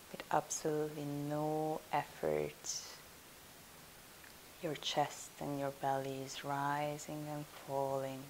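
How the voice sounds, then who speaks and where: Woman yoga teacher talking u thru a meditation, low soothing hushed voice, steady hiss on the recording. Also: rough recording. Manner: soothing, hushed, unhurried instruction. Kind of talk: guided meditation.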